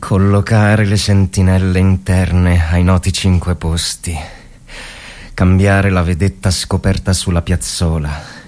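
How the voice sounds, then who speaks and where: Man performing a weary trench officer, very slow delivery, lowish pitch, brooding, halting, repetitive, theatrical war monologue. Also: a little mellow. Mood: weary, dutiful. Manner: halting, repetitive, brooding, swinging between murmurs and outbursts. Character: worn-out, haunted male army officer in mountain trenches. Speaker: actor reading a war story. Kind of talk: dramatic theatrical monologue from literary fiction.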